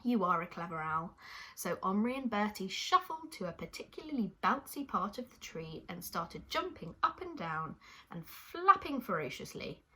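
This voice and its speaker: A woman's voice: medium pitch, big pitch swings, moderate pace, pushed and bright, slightly rough, poor audio. A woman hosting a children's puppet show and telling stories, speaking encouragingly and directly to young listeners. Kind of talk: children's presenting and storytelling.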